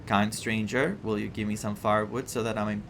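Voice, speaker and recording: A man's voice: on the low side, level tone, fairly quick, vocal fry, voice pushed down. A man voicing a small, forlorn living flame from a video game, in plaintive storytelling. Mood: forlorn, pleading. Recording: game music behind the voice.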